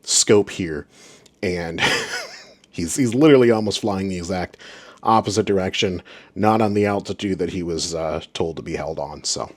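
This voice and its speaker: Low-voiced man giving sarcastic commentary. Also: normal speed. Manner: exasperated, sarcastic ranting. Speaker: aviation audio reviewer. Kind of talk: informal commentary on radio recordings.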